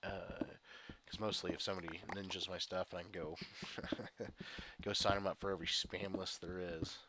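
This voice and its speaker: A man with a very deep voice talks through a stuffy head cold, sniffling as he rambles and chuckles over his gameplay. Loud steady noise and clicking sit under the home recording. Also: normal speed. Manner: rambling, chatty, chuckles often. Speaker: gaming video creator. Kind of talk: casual gameplay commentary.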